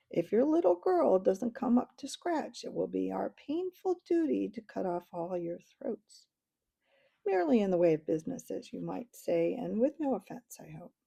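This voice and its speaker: A woman's voice: medium pitch, gravelly, warm tone, slightly rough, exaggerated voice. Woman voicing a folksy invisible chief. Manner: folksy, long-winded, dialect-heavy. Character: boastful rustic male chief of invisible folk.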